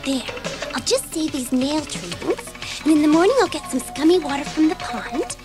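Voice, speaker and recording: A woman playing an impulsive, mischievous schoolgirl in a dramatised children's audiobook, eager and expressive. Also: high voice, normal speed, music in the background. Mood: scheming. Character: bored, daring, impulsive schoolgirl with a taste for mischief.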